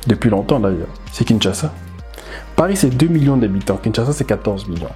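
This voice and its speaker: A man from the DR Congo, a language teacher, giving animated, emphatic commentary and repeating words as he thinks aloud. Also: on the low side, brisk, faint music behind. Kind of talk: casual commentary video.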